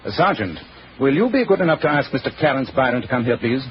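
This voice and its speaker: Incisive gentleman detective, played by a man, very varied intonation, old noisy radio drama sound. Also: medium pitch, big pitch swings, fast, dull audio, close to an everyday voice. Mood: courteous, probing. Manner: crisp, probing, dryly sardonic.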